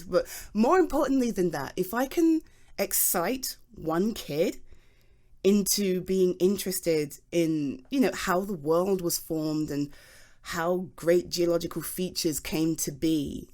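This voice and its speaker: A woman's voice: fairly low pitch, lively intonation, a little slow, a little mellow. A British woman playing an enthusiastic, self-deprecating geology nerd in an ASMR roleplay, rambling hesitantly as if chatting to a listener. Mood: earnest, enthusiastic. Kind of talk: ASMR voice roleplay, improvised one-sided conversation.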